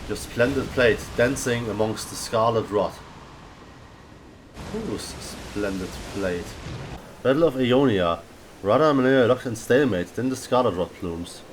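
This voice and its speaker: A man's voice: medium pitch, animated, measured pace, slight background noise. A man giving casual playthrough commentary on a fantasy game, with short informal reactions and reading of on-screen text. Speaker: gamer playing through a fantasy game. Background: game music.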